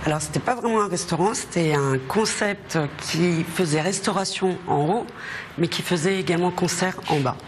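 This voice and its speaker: Female restaurateur, middle-aged, informal interviewee, pausing to find words, clear ambient noise behind. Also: lowish voice. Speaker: restaurant co-owner. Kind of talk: casual interview answers. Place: restaurant dining room.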